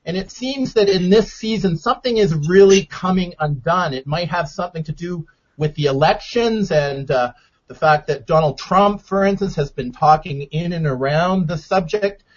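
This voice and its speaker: Measured male radio host, lo-fi sound. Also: sits high. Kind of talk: radio interview. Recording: dull lo-fi sound.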